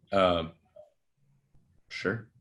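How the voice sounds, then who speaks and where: A man's voice: very slow, fairly bright tone. A man playing a reckless, defensive young actor in a screenplay table read, hesitant and casual in his delivery. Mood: awkward, defensive. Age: young adult.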